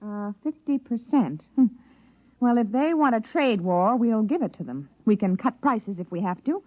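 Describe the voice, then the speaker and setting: An actress playing a brisk, imperious company inspector, clipped, formal and demanding, in 1950s radio drama style. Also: female voice, on the high side, expressive, no echo. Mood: businesslike. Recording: dull old radio recording.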